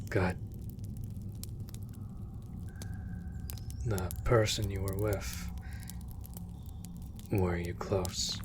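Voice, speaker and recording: A man playing a gentle, world-weary monster hunter in an intimate comfort roleplay, speaking in breathy half-whispers with halting delivery. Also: deep voice, steady tone, background noise. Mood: gentle, tender. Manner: slow, halting, hushed delivery. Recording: loud rain and cave ambience. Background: rain in a cave.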